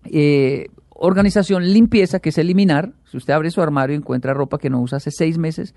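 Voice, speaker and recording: A man, a talk show guest who tells animated stories and acts out the quotes. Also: fairly high pitch, brisk, no room sound, clean studio sound. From Colombia. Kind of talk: casual chat.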